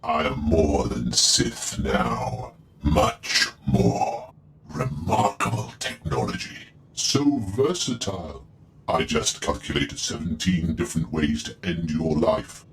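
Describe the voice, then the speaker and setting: Game villain: menacing cyborg dark lord, very deep and gravelly, gloating, arrogant delivery. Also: male voice, animated, slow pace, slight background noise, exaggerated voice. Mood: gloating menace. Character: menacing cyborg Sith villain, cold and power-hungry.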